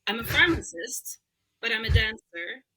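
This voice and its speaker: A young woman with a bright, clear voice. Her is Arabic, but she talks easily in English. She is chatty and laughs often as a podcast guest. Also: on the high side, animated, normal speed, rough recording. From Lebanon. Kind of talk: casual interview chat.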